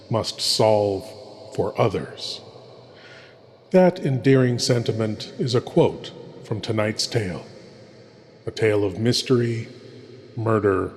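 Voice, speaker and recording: A man's voice: on the low side, a little mellow. A man hosting a horror show with solemn, ominous, theatrical scripted narration. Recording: long hall reverb, noisy background.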